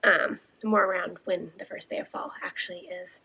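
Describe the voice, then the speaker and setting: A young woman hosting a video podcast, chatting casually with lots of ums, a bit raspy and congested. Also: lowish voice, bright, forceful tone, dull audio. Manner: chatty, with many ums and uhs. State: congested, a little raspy from smoke. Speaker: podcast host and shepherd. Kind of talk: casual chat to camera.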